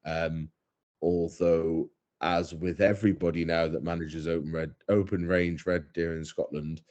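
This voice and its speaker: A man's voice: deep voice, flat delivery, a little slow, a little mellow, lo-fi sound. A young man, a guest on a podcast, talking casually in a thoughtful, hesitant way with many fillers and restarts. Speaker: head stalker, guest.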